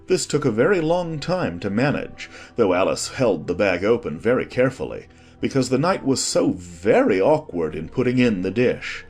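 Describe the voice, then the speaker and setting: A man's voice: fairly low pitch, very animated, moderate pace, darkish tone, faint music behind. A man reading scripted storybook narration as the narrator of an audiobook.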